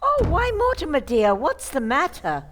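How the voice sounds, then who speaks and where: A woman's voice: high-pitched, huge pitch swings, moderate pace. A woman playing a sweet, dotty, unflappable old aunt in an old-time radio play. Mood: calmly unbothered.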